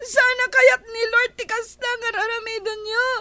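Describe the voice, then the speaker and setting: Scared little kidnapped girl, super high and bright, slow and weepy. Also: flat delivery. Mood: tearful. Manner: high, tearful, pleading. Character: frightened kidnapped young girl. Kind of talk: radio drama acting.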